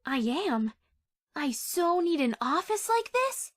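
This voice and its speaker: A woman's voice: sits high, very animated, takes it slowly, dry recording, clean studio sound, exaggerated voice. A woman voicing a loyal, playful young mare with a casual manner in a cartoon-style comic dub. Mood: breezy, supportive. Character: breezy, loyal young adult mare, supportive sister-in-law.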